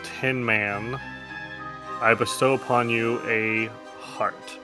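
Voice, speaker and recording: A man voicing a bitter, reluctant sham wizard in a fantasy tabletop game, with a flat, halting delivery. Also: medium pitch, faint music behind. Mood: grudging, sullen. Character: grudging, bitter fake wizard handing out gifts.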